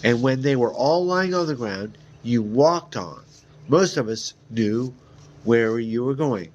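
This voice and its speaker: A man reading a fantasy novel aloud as an amateur, halting at times, with small stumbles and repeated words. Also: mid-range voice, expressive, a little mellow, dull audio, a little hiss or hum. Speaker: amateur reader.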